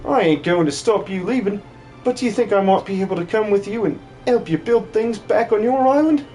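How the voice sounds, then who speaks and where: A man voicing an eager, timid young farmhand who talks in a folksy, excitable way for a game dub. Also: sits high, brisk, soft background music, natural voice. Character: eager, timid young male farmhand, easily carried away.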